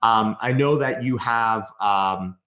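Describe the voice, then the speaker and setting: A man's voice: on the low side, pushed and bright. A man hosting an event, introducing a guest casually and enthusiastically with many "uh" fillers. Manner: enthusiastic, with many "uh" fillers and quick "amazing" reactions. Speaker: event host. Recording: echoey room.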